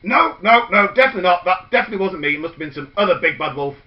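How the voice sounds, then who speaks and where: Man voicing a sly big bad wolf, blustering, lively swoops, dull lo-fi sound. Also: high voice, rapid-fire. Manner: blustering, wheedling denials.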